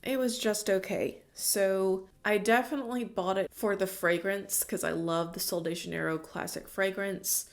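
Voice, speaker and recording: Woman, congested, stuffy-nosed, beauty vlogger, casual opinionated chat, mid-pitched voice. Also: fairly even intonation, moderate pace, creaky, hardly any pauses. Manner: chatty, opinionated, casual slang. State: congested from a cold. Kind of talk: chatty product review vlog.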